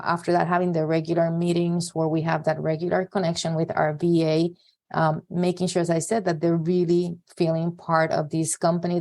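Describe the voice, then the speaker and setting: A woman's voice: fairly low pitch, darkish tone. A woman hosting a podcast interview, speaking conversationally in long, meandering sentences. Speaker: podcast host.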